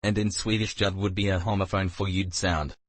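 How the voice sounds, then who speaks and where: A man reading online forum comments aloud in a deadpan way. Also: low-pitched, brisk, dry recording. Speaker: comment reader.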